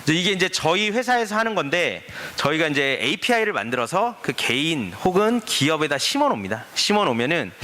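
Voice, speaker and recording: Lively, bright-voiced man giving a talk. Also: on the high side. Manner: lively explanatory talk with rhetorical questions. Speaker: forum speaker. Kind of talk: conference presentation. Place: conference stage.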